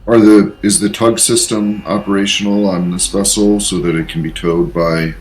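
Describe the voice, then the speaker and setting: A man playing a tabletop roleplaying game, laying out plans in a measured, directive way. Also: low-pitched, little pitch movement, a little mellow, low-quality recording. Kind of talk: in-character planning in casual game talk.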